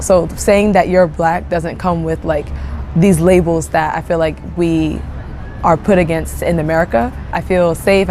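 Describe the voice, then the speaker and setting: A young woman raised in Japan with Japanese as her first language, giving relaxed, thoughtful interview answers full of hedges and fillers. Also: lowish voice, slight background noise. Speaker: interview guest.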